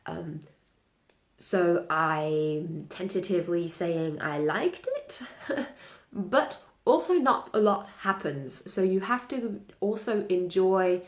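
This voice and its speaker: A woman's voice: on the low side, takes it slowly, reverberant. A young woman, a native German speaker, chatting casually through a reading recap with many ums and restarts. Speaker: book vlogger.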